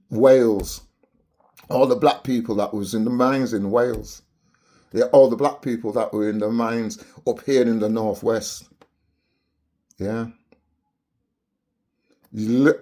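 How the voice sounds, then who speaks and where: A man's voice: mid-range voice, dark tone. A Barbadian man delivering an impassioned, preachy monologue to camera, peppering his points with rhetorical "yeah?" tags. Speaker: vlogger.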